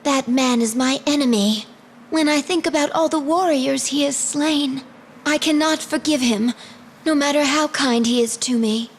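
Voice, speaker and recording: An actress playing a proud, dutiful young warrior princess with formal, earnest delivery in a video game drama. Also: female voice, high voice, steady tone, normal speed, slight background noise, lightly acted. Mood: bitter, resolute.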